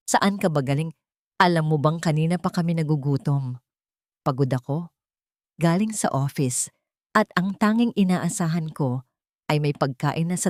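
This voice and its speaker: A woman's voice: low-pitched, darkish tone, no room sound. A woman acts out a demanding Filipino husband in an audio drama. The manner is scolding and accusing, with a dry, close sound. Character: demanding, complaining husband home from the office.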